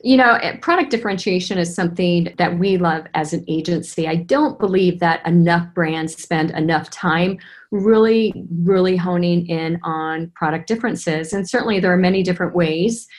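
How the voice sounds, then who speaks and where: A woman's voice: on the low side, moderate pace. A woman who hosts a business podcast, introducing her guest from a prepared script and then asking chatty, thoughtful questions. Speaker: podcast host. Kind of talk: hosted business interview.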